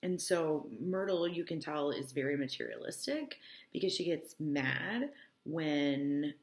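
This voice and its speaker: A woman's voice: low voice, lively intonation, measured pace. A woman teacher reading a novel aloud and explaining it informally, often checking that listeners follow. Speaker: English teacher.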